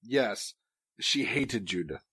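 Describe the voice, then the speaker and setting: An actor playing a nervous young artist accused of murder, defensive and hesitant. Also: male voice, fairly low pitch, expressive, slow pace, sharp tone, slightly rough, heavily acted. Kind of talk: amateur audio drama acting.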